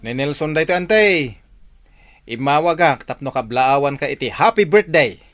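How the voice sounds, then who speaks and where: A man's voice: on the high side, expressive, moderate pace, fairly bright tone. An actor playing a cheerful, affectionate young married man in a radio drama, with a Northern Philippine Ilocano accent. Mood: warm, upbeat.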